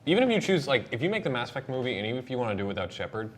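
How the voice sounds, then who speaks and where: A man's voice: mid-range voice, sing-song, rapid-fire. A man in a casual group discussion, talking in an opinionated, emphatic way. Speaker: show panelist. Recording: noticeable background room noise.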